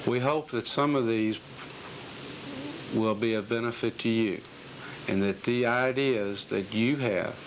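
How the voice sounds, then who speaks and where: A man's voice: mid-range voice, measured pace, pauses often. A man hosting an educational newsreel, delivering scripted narration and interview questions, on an old 1980s video soundtrack. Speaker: program host and narrator. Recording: noisy 1980s video soundtrack, steady background noise.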